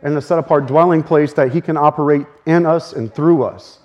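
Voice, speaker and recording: A man preaching a sermon earnestly, his voice a little strained, speeding up in bursts. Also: fairly high pitch. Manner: earnest, with fast bursts and soft laughs. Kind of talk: sermon teaching.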